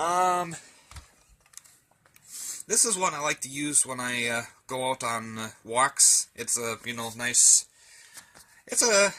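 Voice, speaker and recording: A man's voice: medium pitch, bright, forceful tone. A man giving a casual, rambling show-and-tell indoors, thinking aloud in a folksy, colloquial way. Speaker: hobbyist presenter. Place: indoors, in a room at home.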